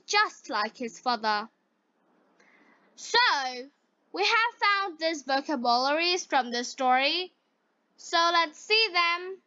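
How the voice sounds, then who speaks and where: Female storyteller for beginner learners: very slow, deliberate phrasing, bright high voice, slightly dull lo-fi recording. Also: no room sound. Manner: deliberate, short separated phrases. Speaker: narrator of stories for English learners.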